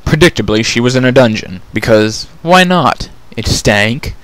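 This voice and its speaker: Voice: mid-range voice, animated, normal speed, a bit bright, dry recording, low-quality recording. A narrator giving a scripted dramatic reading of a fan fiction story.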